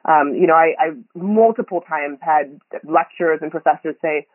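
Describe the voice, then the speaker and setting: A woman's voice: sits low, moderate pace, dry recording. A woman from Kenya, a podcast guest, talking reflectively with many ums and frequent laughs. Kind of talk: conversational interview. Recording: tinny phone line, dry.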